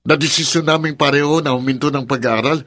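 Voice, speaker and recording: A young Filipino elder brother in a radio drama. His voice is a little higher than average, firm and protective. He presses his points with emphatic questions. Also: fairly even intonation, fast talker, fairly bright tone. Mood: indignant, confronting. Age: young adult. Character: firm, protective grown son and older brother. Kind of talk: Tagalog radio drama acting.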